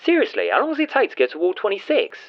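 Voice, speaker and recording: A man voicing an impatient, excitable male adventurer, brisk and peeved, through a phone effect in an audio story. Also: sits high, voice pushed up. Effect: phone filter. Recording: narrow, noisy phone-receiver sound.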